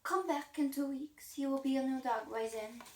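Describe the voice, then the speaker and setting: Storybook dog-school headmistress, acted by a woman reader: grand, measured, self-assured, higher voice in an echoey room. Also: distant mic, a little hiss or hum. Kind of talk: read-aloud children's storybook.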